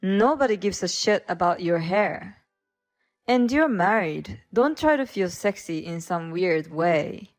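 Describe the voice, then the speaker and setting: A woman's voice: lowish voice, lively intonation, a little slow, soft background music. A woman voicing a blunt, accusing husband. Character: suspicious, scolding husband, adult man.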